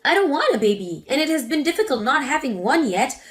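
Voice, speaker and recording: A woman's voice: high voice, very animated, brisk, faint music behind. A woman voicing an angry grown daughter who confronts her mother sharply. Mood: indignant. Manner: sharp, indignant.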